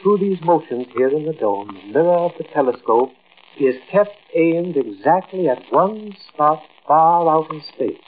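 A man's voice: a little high, lively intonation. An elderly, priestly astronomer in a vintage radio play. He speaks formally and precisely, like a patient lecturer, on a muffled recording with a light hiss. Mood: solemn, grave. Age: older. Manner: measured, precise, didactic. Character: courteous old astronomer lecturing visitors, solemn and priestly. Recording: muffled, hissy old-time radio recording.

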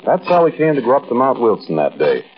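An actor playing a folksy American man telling a first-person story in 1940s radio style, conversational and musing, with hesitant pauses. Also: mid-range voice, wildly swooping pitch. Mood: conversational, reminiscing. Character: folksy American man recounting an uncanny mountain outing. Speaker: narrator and lead character. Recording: muffled, hissy old-time radio recording.

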